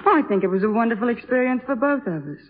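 An actress playing a bitter, brittle young wife in a 1950s radio drama. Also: female voice, fairly high pitch, expressive, racing, a little hiss or hum, natural voice. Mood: brittle, airy. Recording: muffled vintage radio broadcast.

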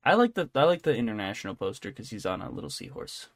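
A man's voice: on the low side, very animated, fast talker, gravelly, slight background noise. A man co-hosting a podcast, chatting casually about entertainment news in an irreverent, joking, sometimes profane way. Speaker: podcast co-host.

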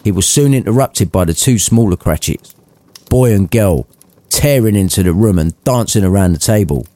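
Male audiobook narrator: animated, dramatic, irreverent storytelling, dry close sound. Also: lowish voice, normal speed, no room sound.

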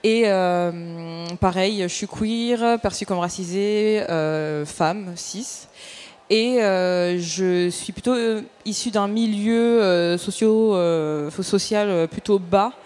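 Casual, halting young woman. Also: mid-range voice, a little mellow. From French suburbs. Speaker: activist panel speaker. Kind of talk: informal self-introduction to an audience.

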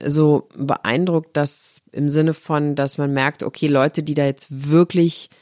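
A woman's voice: sits low, steady tone, slow pace, darkish tone, no echo, clean studio sound. A middle-aged woman from Germany, a guest on a podcast talking about her health platform, explaining things earnestly and at length. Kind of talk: podcast conversation.

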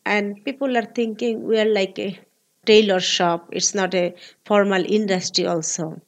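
A woman in her forties from Bangladesh with a Bangladeshi accent, speaking earnest, halting English as an interview guest. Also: medium pitch, steady tone. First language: Bengali. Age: 40s. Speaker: guest, labor activist.

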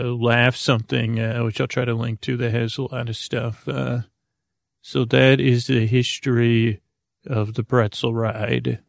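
A man with a creaky voice hosting a bedtime podcast, rambling in a lulling, halting way with many pauses and meanders. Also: medium pitch, no echo, studio quality. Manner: lulling, soothing. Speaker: podcast host.

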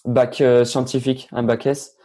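A man's voice: medium pitch, level tone, normal speed, mellow. A teenage man, a young tennis player answering interview questions casually, hesitant with many fillers and restarts. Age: teens.

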